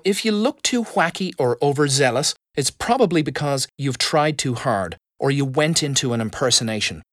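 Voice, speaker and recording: A man giving a measured, expressive lesson on public speaking. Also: medium pitch, no room sound, clean studio sound. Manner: expressive emphasis, measured delivery. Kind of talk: instructional talk.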